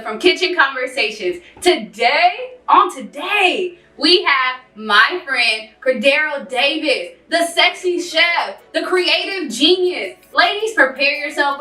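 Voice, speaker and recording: An enthusiastic young woman hosts a casual kitchen chat. Her voice is bright and a little high. It is heard from a distance in a roomy room with faint music. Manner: bubbly, enthusiastic. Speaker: host. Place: home kitchen.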